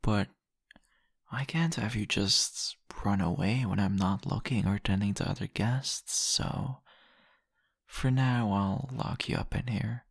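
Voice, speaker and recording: A man playing a seductive, possessive vampire in an intimate ASMR roleplay, teasing and murmuring deliberately in a husky, gravelly voice. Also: on the low side, no echo, clean studio sound, full character voice.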